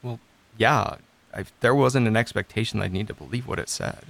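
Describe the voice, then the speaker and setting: A man's voice: lowish voice, normal speed, vocal fry, a bit bright. An actor playing a despairing but earnest man in therapy, speaking hesitantly and vulnerably in a scripted audio drama. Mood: doubtful, hesitant. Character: despairing, self-doubting, earnest male therapy client. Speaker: actor in a fiction podcast.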